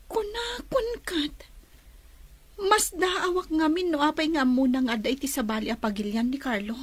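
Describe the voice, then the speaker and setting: A woman's voice: sits high, moderate pace, close to an everyday voice. A woman playing an earnest, wronged young woman in a radio drama, with a Filipino accent. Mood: dismayed.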